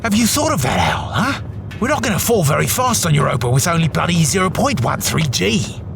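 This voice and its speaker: A man voicing an excitable, mouthy, tactless spaceship crewman who complains and swears in an audio drama. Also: fairly high pitch, fairly quick, vocal fry, noisy background, pitched above the performer's own voice. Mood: incredulous. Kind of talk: audio drama character acting.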